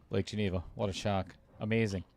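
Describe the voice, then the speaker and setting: A man's voice: lowish voice, steady tone, moderate pace, creaky, darkish tone. A man doing casual play-by-play commentary on a pool match for a live stream. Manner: casual, chatty, reads viewer comments aloud.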